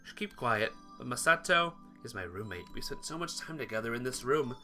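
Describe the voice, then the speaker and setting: A man's voice: medium pitch, lively intonation, a little fast, pushed and bright, soft background music. A man reading first-person visual novel narration aloud for a playthrough, stumbling over words and rereading them.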